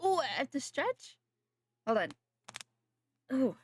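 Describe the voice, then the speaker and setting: A woman's voice: high voice, big pitch swings, takes it slowly, husky, no room sound, clean studio sound. A young adult woman streaming a game, chatting casually with viewers in a slightly nasal voice from a sore throat, rambling and correcting herself. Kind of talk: casual live-stream chat while gaming.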